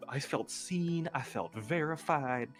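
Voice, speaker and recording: A man's voice: fairly high pitch, animated, slow. A man chatting casually as a guest on a livestream, speaking hesitantly in short, broken-up pieces. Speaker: guest content creator. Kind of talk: casual livestream chat, personal anecdotes. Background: faint music.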